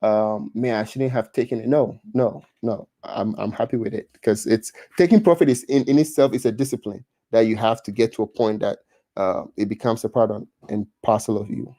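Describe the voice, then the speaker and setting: A man's voice: fairly low pitch, steady tone, fairly quick, dark tone, no echo. Man with a Ghanaian accent on a livestream. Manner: restarts and repeats words, reads out viewer comments. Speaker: livestream host. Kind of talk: casual livestream chat.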